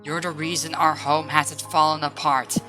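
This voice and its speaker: A woman's voice: deep voice, little pitch movement, moderate pace, sharp tone, faint music behind. A woman voicing a blunt, loyal female comrade in a dramatic roleplay, firm and earnest. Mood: firm, determined. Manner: firm, scolding yet earnest. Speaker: voice actor.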